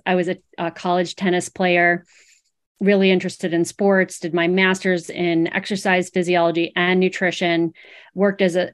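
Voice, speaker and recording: East Coast woman dietitian guest. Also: on the low side. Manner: hesitant, lots of ums, laughs easily. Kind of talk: casual interview chat.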